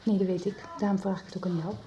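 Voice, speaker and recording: A woman playing a determined, discreet schoolteacher in a radio soap, measured and confidential. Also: mid-range voice, flat delivery, close to an everyday voice. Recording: radio recording with faint noise.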